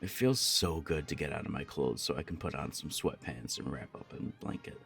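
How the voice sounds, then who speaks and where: Man acting a low, creaky, affectionate punk roommate. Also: animated, brisk, fairly bright tone, faint music behind, full character voice. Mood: playful, amused. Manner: casual, teasing, chatty. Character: easygoing, affectionate young punk roommate with a crush. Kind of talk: intimate ASMR roleplay, one side of a conversation.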